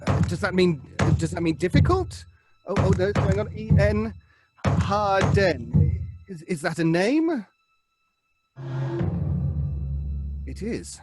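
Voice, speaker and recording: An earnest séance leader in a classic radio horror drama. His voice is high and unhurried, with formal, hesitant phrasing that pleads solemnly. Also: lively intonation, over loud music. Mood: hushed, halting. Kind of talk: old British radio horror drama acting.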